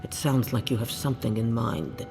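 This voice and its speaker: Suspicious council leader, proud, imperious, formal, medium pitch, fantasy game, long reverberant hall. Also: male voice, expressive, moderate pace, vocal fry, over music. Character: proud, stern, suspicious council leader guarding a sacred hold. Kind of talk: dramatic fantasy game acting.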